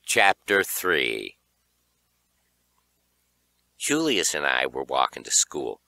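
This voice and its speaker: A man reading a young horror story as an audiobook narrator, with an animated, dramatic delivery. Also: extremely low pitch, takes it slowly, vocal fry.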